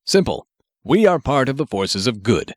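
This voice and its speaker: A man's voice: medium pitch, sing-song, moderate pace, dry recording, close to an everyday voice. A man voicing a pompous, earnest superhero who speaks in formal, declamatory sentences with stubborn optimism, in an audiobook. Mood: solemnly logical.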